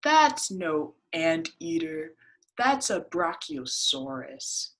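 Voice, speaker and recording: A woman voicing a stubborn, contrary storybook character with a firm, drawn-out, contradicting delivery. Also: low voice, sing-song, fairly bright tone, voice pushed down, full character voice. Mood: contradicting, scornful. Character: stubborn, contrary arguer who sees a rabbit.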